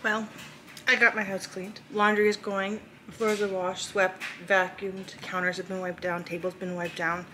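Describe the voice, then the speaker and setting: A young woman chatting casually to a camera held at arm's length in her home, rambling with lots of fillers and often talking hushed. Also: fairly low pitch, a little hiss or hum. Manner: talking hushed, sometimes whispering. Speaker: vlogger. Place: open-plan living room and kitchen at home. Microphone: handheld camera at arm's length.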